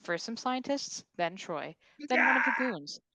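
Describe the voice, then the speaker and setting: A woman's voice: a little high, animated, moderate pace, pushed and bright, no echo. A woman reading scripted stage directions as narrator at a comedic table read.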